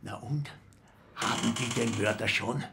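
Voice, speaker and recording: An actor playing a mocking, bossy care-home resident with an Austrian accent who fancies himself a detective in a radio drama. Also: male voice, mid-range voice, measured pace, vocal fry, noisy background. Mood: mocking, scheming. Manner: mocking, condescending. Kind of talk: naturalistic radio drama acting.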